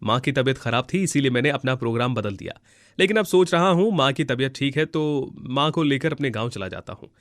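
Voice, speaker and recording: A man's voice: mid-range voice, lively intonation, brisk, a bit bright, no room sound, studio quality, lightly acted. A man playing a polite, earnest and caring grown son and employer in a devotional story. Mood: grateful. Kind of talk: Hindi story acting.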